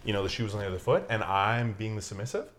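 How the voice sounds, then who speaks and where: A man's voice: on the low side, animated, racing, a bit bright, slight background noise. A man who hosts a podcast, talking in a laid-back, reflective way with casual swearing. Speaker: podcast host. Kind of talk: casual conversational chat.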